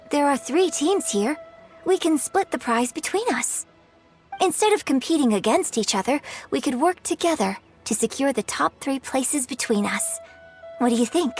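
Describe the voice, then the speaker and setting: An actress voicing a polite, composed young opera singer in a video game, speaking formally. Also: female voice, high-pitched. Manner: formal, courteous.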